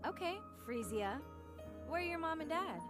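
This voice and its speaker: A woman's voice: very high voice, expressive, moderate pace, music in the background. A woman voicing a kind, reserved and steadfast female soldier in an English-language video game. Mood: gentle.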